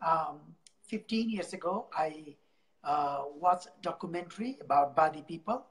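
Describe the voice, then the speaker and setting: A man from Nepal with a Nepali accent, a charity founder telling his story in an interview, halting and repeating words. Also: a little high, animated, rough recording.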